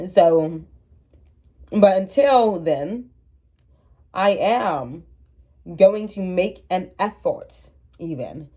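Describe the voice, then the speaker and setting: A woman's voice: fairly low pitch, animated, very slow, pauses often, warm tone. A young woman in her twenties with a stuffy, congested voice, chatting candidly and rambling to her phone camera, laughing at herself. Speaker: vlogger. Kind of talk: casual confessional chat to camera.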